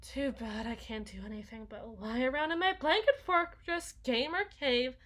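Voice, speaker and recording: A woman's voice: high voice, pushed and bright. A woman playing a sulky, sarcastic young vampire shut-in in an ASMR roleplay, whining and mumbling through a one-sided conversation. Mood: sulky boasting. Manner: whiny, sarcastic, halting, dropping into mumbles and sighs. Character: sulky, sarcastic young female vampire, reclusive lazy gamer.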